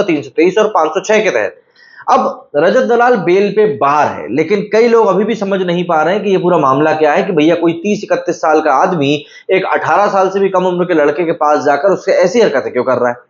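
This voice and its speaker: A man in his thirties hosting a news commentary, telling the story emphatically with rhetorical asides, on a lapel mic. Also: high-pitched. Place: room with brick-pattern wall. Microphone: clip-on lapel mic. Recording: roomy, slightly dull sound.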